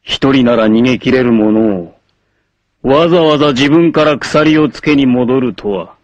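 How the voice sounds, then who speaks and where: An actor playing an arrogant, obsessive warlord villain in a 1980s anime, haughty, menacing and theatrical in delivery. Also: male voice, mid-range voice, a little slow, no echo, highs cut off, close to an everyday voice. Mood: cold menace. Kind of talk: dramatic 1980s anime voice acting.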